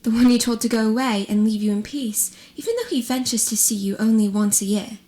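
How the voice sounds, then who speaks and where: A woman in a radio drama playing a solemn spirit of the past, speaking in a measured, firm way. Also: on the high side, a little mellow, close to an everyday voice.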